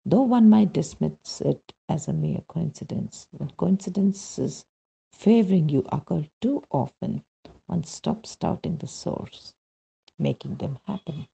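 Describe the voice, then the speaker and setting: A man reading a spiritual testimonial as scripted narration, in measured phrases with frequent pauses. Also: high-pitched, creaky, warm tone, slightly rough, rough recording. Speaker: reader of a devotional testimonial.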